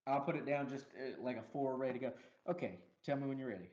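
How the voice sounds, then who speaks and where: A man co-hosting a casual movie review podcast, animated and laughing often as he acts out lines from the film. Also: medium pitch, quick pace, highs cut off. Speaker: podcast co-host.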